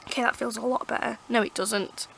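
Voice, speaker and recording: A woman with a croaky, hoarse throat, rambling chattily as she reviews her favourite books to camera. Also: a little high, fairly even intonation, a little fast, a bit bright, rough recording. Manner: rambling, chatty, lots of fillers and restarts. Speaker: book vlogger. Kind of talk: casual book review chat. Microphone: camera mic.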